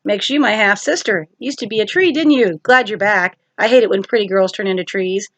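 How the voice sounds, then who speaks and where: A woman's voice: mid-range voice, brisk, dry recording, close to an everyday voice. Woman voicing a breezy, cocky teenage sun god. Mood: breezy, pleased.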